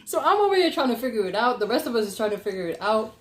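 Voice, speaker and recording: A young adult woman telling stories casually and conversationally, animated and giggly, acting out what people said. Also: a little high, racing. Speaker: podcast host telling stories. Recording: echoey, roomy sound.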